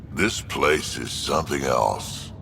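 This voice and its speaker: A gruff, aged alien warrior from a sci-fi game, his voice extremely low and thick with gravel. He is blunt and fond, heard in long reverb over noisy ambience. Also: sharp tone, heavily acted. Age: older. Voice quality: deep gravelly rumble.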